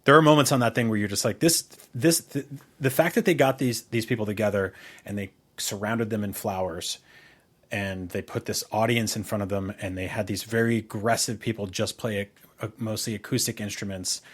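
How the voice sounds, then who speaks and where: A man's voice: lowish voice, husky. A middle-aged man co-hosting a podcast in casual, rambling conversation, informal and freely profane. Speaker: podcast co-host.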